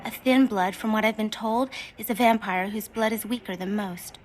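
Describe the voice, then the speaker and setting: An actress playing a distraught, hungry, guilt-ridden young female vampire in a video game, with breathy, halting, anguished delivery. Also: medium pitch, slight background noise, natural voice. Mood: hurt, anxious.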